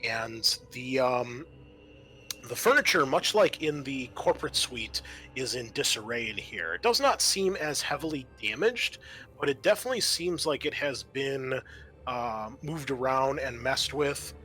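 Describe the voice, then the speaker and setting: A man narrating a tabletop roleplaying game as game master, in a halting, descriptive manner. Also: mid-range voice, animated, pushed and bright, slight background noise.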